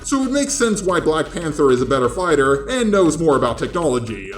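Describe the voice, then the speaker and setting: Echoey, higher-voiced man doing sarcastic commentary. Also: very animated, brisk, slight background noise. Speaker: video essayist host. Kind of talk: scripted video commentary. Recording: roomy echo, music behind. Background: background music.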